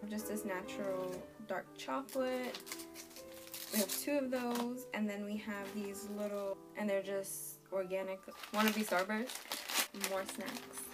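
A young woman in her twenties with a croaky, sore-throated voice, chatting casually to camera as she unboxes a subscription box in her bedroom. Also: medium pitch, steady tone, slow pace, loud music behind the voice. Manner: chatty, reads product labels aloud. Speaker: beauty and lifestyle vlogger. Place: small bedroom in front of a red wall.

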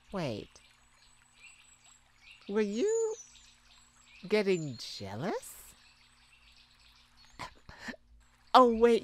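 A woman's voice: deep voice, vocal fry, slight background noise, heavily acted. A woman playing a kind, protective, flustered young mage in a romantic ASMR roleplay, hesitant and stammering. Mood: teasing, cautious. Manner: hesitant, stammering, soft-hearted. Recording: steady outdoor birdsong ambience behind voice.

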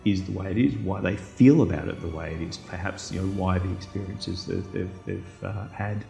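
Deep-voiced middle-aged Australian man, creaky. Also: steady tone, normal speed, dark tone. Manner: hesitant, reflective, repeats words. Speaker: historian interviewee. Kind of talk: thoughtful interview talk. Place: indoor room, seated. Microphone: lapel. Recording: echoey room with faint music.

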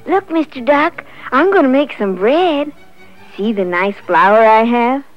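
A woman's voice: mid-range voice, expressive, moderate pace, lightly acted. A woman voicing an eager, hardworking little red hen in a storybook, coaxing with simple repeated phrases. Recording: dull lo-fi sound with faint music.

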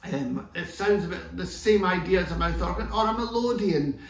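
A man's voice: high-pitched, normal speed. A Scottish man in his fifties explaining an instrument in a chatty, enthusiastic way, often restarting his sentences, seated in a living room. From Scotland. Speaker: concertina teacher. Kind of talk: relaxed tutorial explanation. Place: living room, sitting on sofa. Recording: echoey room from far off.

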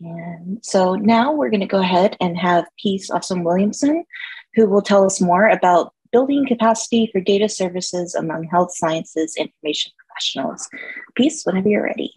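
A woman moderating a conference session over a video call, briskly thanking speakers and introducing the next one. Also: medium pitch, a little mellow, slightly rough, low-quality recording.

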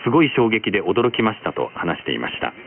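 A man reading scripted news as a radio announcer over shortwave, in a formal, measured manner. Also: lowish voice, very animated, vocal fry. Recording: noisy, narrow-band shortwave radio reception. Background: radio static and noise.

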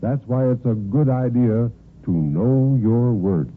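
A man's voice: medium pitch, expressive, takes it slowly, very noisy. A man working as a radio announcer, reading scripted vintage narration in a formal, declamatory way. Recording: muffled, phone-thin old radio recording.

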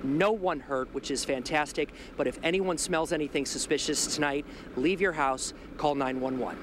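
A man in his thirties reporting live outdoors at night with a handheld mic, brisk and earnest. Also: fairly high pitch, noisy background. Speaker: field reporter. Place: outdoors at night in a residential street.

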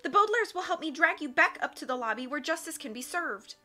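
An earnest, well-meaning judge speaks in a high, pleading voice, as a woman puts her on. Her tone is bright and forceful, with faint music behind. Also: animated, quick pace. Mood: defiant.

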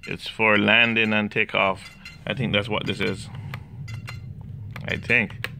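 A man with a Jamaican accent, speaking slowly and haltingly, often stopping to search for the word. His voice is low and gravelly but carries a bright, sharp edge. A faint hiss sits under the recording. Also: little pitch movement. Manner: hesitant, many fillers and restarts. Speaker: hobbyist demonstrating drone software. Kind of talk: casual screen walkthrough. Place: indoors, room with a laptop.

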